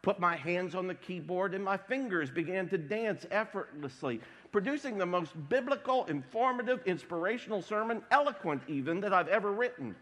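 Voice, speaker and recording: A man preaching a sermon as pastor, congested from a cold, with a wry, deadpan humor. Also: high voice, fairly quick, few pauses. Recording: long hall reverb.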